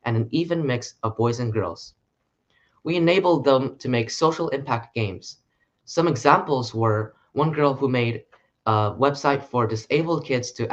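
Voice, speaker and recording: A man presenting a short conference talk in an earnest, steady way. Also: mid-range voice, muffled recording. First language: English.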